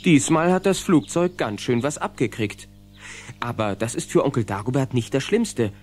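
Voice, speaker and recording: A man's voice: medium pitch, expressive, moderate pace, a little mellow, soft background music, close to an everyday voice. A man playing the narrator of a children's cartoon adventure, reading scripted story narration. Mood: lively storytelling.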